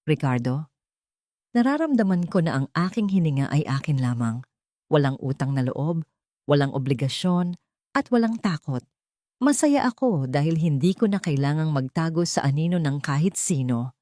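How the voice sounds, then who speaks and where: A woman voicing a calm, dignified heroine with a clear voice in an audio story. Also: deep voice, moderate pace, no room sound, clean studio sound. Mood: relieved, firm. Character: calm, dignified woman freed from her past.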